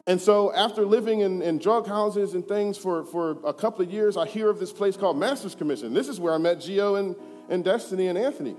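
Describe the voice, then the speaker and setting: A man in his thirties giving a personal testimony, earnest and conversational, moving between hushed and emphatic. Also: high voice, a little fast. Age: 30s. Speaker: church speaker giving testimony. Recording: reverberant hall with faint music.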